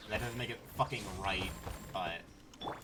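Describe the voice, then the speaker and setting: A man's voice: on the low side, moderate pace. A twenty-year-old man giving casual, rambling gameplay commentary as a streamer, blunt and free with swearing. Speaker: game streamer. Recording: noisy, distant mic with clicks. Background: game sounds, clicking.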